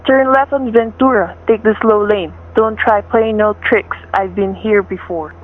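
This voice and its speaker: A woman's voice: mid-range voice, measured pace, natural voice. A woman playing a menacing, suspicious male gunman in a radio thriller, with sarcastic, slangy tough-guy talk. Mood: threatening, suspicious. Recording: thin, phone-like radio-play recording.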